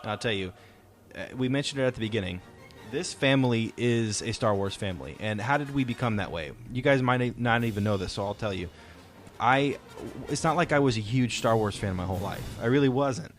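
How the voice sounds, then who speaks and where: A man's voice: medium pitch, animated, a little fast, faint music behind. A man in his thirties, a father hosting a podcast, casually telling personal stories to his children. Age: 30s. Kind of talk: casual chat, personal storytelling.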